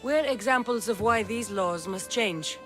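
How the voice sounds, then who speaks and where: A woman acts a tough young warrior in a game cutscene. Her mid-pitched voice is blunt and determined, with music clearly underneath. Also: unhurried, a bit bright, close to an everyday voice. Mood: blunt, wry. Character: tough, blunt Spartan mercenary daughter, determined. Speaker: actor voicing a game character. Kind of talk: dramatic video game acting.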